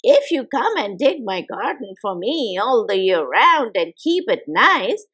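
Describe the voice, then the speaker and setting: A woman's voice: fairly high pitch, big pitch swings, unhurried, a bit bright, breathy edge, pitched below the performer's own voice, exaggerated voice. A woman voicing a stern old woman who knows magic spells and scolds firmly in a storybook. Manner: firm, scolding, matter-of-fact.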